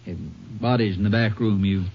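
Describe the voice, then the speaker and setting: An actor playing an earnest small-town sheriff with a folksy drawl in a 1950s radio drama. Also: male voice, lowish voice, steady tone, brisk, close to an everyday voice. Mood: somber. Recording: hissy muffled vintage radio recording.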